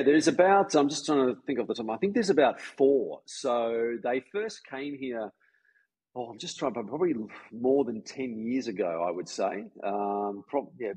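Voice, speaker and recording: Australian man, husky voice, dry sound. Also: medium pitch, no room sound, low-quality recording. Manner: relaxed, rambling with many fillers. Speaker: golf coach, guest. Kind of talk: casual interview chat. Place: home, residence in Jakarta.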